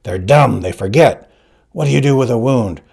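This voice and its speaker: A gruff old fencing master in a storybook, ranting and scornful at full volume, as a male narrator puts him on. The voice is gravelly and very husky, with lively swings in pitch. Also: normal speed.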